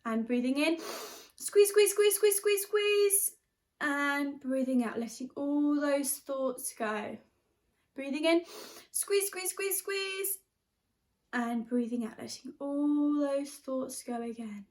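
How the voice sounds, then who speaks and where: A woman with an expressive, chatty and encouraging way of talking, leading and reading aloud for young children as their teacher. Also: high-pitched, takes it slowly. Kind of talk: animated storybook read-aloud and guided exercise.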